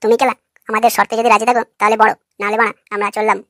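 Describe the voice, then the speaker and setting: Lady doing a cocky cartoon lad, talks super fast, pushy n sweary, dry sound. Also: medium pitch, little pitch movement, fairly bright tone, no room sound, natural voice. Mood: dismissive. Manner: pushy, foul-mouthed. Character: brash, lewd, scheming young male prankster. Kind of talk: comic cartoon dialogue.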